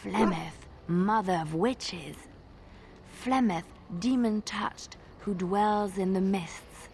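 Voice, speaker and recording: An actress playing a traveling minstrel in a fantasy game, telling tales in a measured, suspenseful and lyrical way. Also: female voice, medium pitch, gravelly, a little mellow, slight background noise. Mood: ominous. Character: female traveling minstrel, soft-spoken, wistful storyteller. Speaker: game companion character. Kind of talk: dramatic storytelling in a fantasy game.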